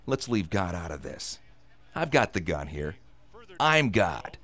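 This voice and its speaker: A man's voice: sits low, big pitch swings, slow, sharp tone, full character voice. A man acting in a radio drama as a sardonic, menacing gunman who taunts his hostage. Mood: cold menace. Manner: mocking, taunting.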